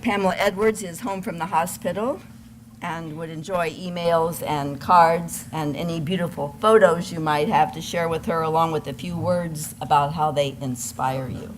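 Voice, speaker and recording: A woman's voice: low-pitched, fairly even intonation, moderate pace, a little hiss or hum, rough recording. An older woman speaking at a worship service, reading announcements and concerns to a congregation. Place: church service.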